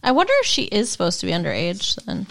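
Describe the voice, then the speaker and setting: A woman's voice: fairly low pitch, quick pace, creaky. A woman co-hosting a podcast, chatting frankly and casually about a movie. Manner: relaxed, brief reactions, frank. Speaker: podcast co-host. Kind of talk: casual movie review chat.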